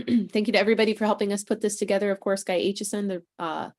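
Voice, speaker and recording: A chatty, upbeat woman hosts a casual livestream over a video call. Her voice sits at a middling pitch, and she sounds tired after a long convention weekend. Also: fairly even intonation, quick pace, darkish tone. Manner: chatty, upbeat, clears throat between announcements. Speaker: host.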